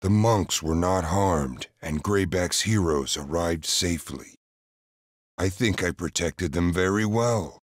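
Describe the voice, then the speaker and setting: A man playing a serene, half-mad monk and ninja in a fantasy game, measured, solemn and portentous. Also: deep voice, no room sound, clean studio sound. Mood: wry.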